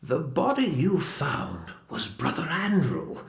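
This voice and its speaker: A man's voice: on the high side, wildly swooping pitch, takes it slowly, full character voice. A man playing a grave, secretive cathedral helper who warns with ominous, theatrical deliberation in a video game cutscene. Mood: grave warning. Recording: echoey room.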